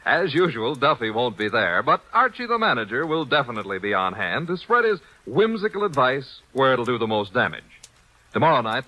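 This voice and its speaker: Crisp, emphatic 1940s radio announcer man. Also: mid-range voice, pushed and bright, low-quality recording.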